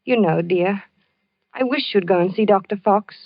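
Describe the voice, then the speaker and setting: An actress in an old-time radio drama playing a worried, solicitous wife who coaxes and pleads. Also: female voice, medium pitch, normal speed, dry recording, close to an everyday voice. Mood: anxious, coaxing. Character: worried, coaxing wife of a troubled man. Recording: muffled vintage radio recording with music.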